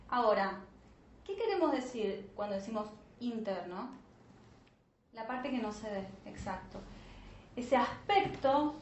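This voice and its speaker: High-voiced woman lecturing in an echoey room. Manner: didactic, frequent check-in questions. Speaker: teacher of an online spiritual alchemy course. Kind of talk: explanatory lecture to students. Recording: roomy echo, dull lo-fi sound, faint noise.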